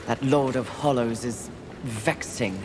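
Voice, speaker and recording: A woman's voice: rumbling low, slow, background noise. An actress playing a stern, haughty female warrior in video game dialogue, speaking in a curt, cold, commanding way. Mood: stern, vexed.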